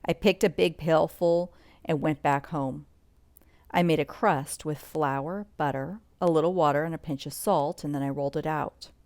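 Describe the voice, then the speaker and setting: A woman reading a children's bedtime story aloud in an expressive read-along style, sometimes commenting as she goes. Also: low voice, moderate pace, dry recording. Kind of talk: bedtime story read-along narration with asides about pictures.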